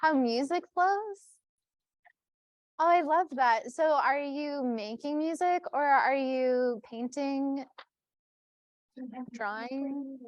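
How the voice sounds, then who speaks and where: A woman with a fairly high voice talks casually to a group of students. She is encouraging, echoing back what she hears. The sound is very dry. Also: slow, long pauses, dull audio. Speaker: guest artist. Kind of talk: casual talk to school group.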